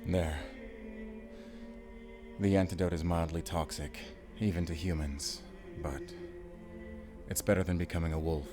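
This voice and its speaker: Casting: gruff werewolf hunter, German accent, low and unhurried, grave protector, audio drama over music. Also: male voice, fairly even intonation. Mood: grave, protective. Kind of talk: cinematic audio roleplay acting. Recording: music behind the voice.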